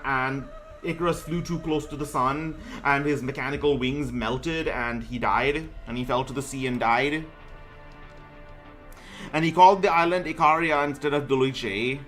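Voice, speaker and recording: Higher-pitched man, stumbling casual reader. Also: fairly bright tone. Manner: stumbles over words and names, often correcting himself. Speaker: gaming streamer reading a myth aloud. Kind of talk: casual reading aloud with gameplay commentary.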